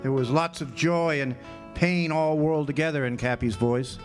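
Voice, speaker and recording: A man's voice: fairly high pitch, fairly even intonation, normal speed, lightly acted. An actor playing a weathered, wry male drifter and Great War veteran, telling his story plainly in a 1940s radio drama style. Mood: fond. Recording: guitar music behind the voice. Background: acoustic guitar music.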